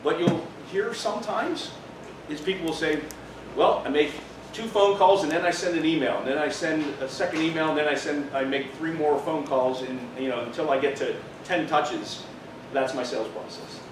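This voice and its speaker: Man, slightly high voice, seminar presenter, emphatic explanatory delivery, distant mic, noisy background. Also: moderate pace, very echoey. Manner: emphatic, explanatory, rhetorical questions. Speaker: business trainer, presenter.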